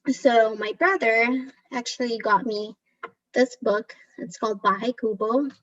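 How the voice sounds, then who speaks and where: A woman whose first language is Tagalog, hosting a casual virtual storytime in an unhurried, conversational way. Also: fairly high pitch, fairly bright tone, muffled recording. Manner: unhurried, conversational, thinking aloud. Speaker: storytime host.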